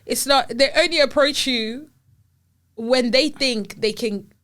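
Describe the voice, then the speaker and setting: A woman's voice: high-pitched, slow pace, a bit bright. A woman from Zimbabwe co-hosting a podcast, in her thirties, chatting casually with bold opinions and frequent laughter. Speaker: podcast co-host. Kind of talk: casual chat.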